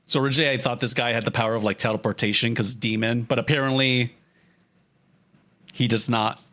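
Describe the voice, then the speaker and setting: A man's voice: medium pitch, steady tone, brisk, pushed and bright. A man chatting casually on a livestream as he works, with a joking, self-deprecating manner and loose, sweary phrasing. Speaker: streamer, storyboard artist. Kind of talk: casual livestream chat while drawing.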